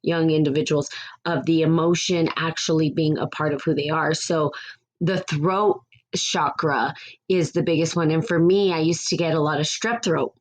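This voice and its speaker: Woman podcast host, low raspy voice, meandering reflective delivery. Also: steady tone, moderate pace. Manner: rambling and reflective, with frequent restarts. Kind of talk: casual spiritual podcast talk.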